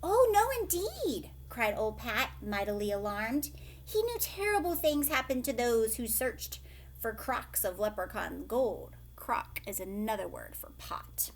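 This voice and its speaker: A woman teacher reading a storybook aloud to young children, dramatic and expressive, with hushed questioning asides. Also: fairly high pitch, unhurried, a little hiss or hum.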